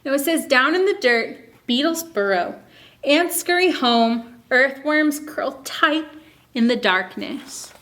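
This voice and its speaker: A woman hosting a children's story time, reading a picture book aloud expressively and pausing to ask young listeners questions and explain. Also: sits high. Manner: asks listeners questions, expressive teaching delivery.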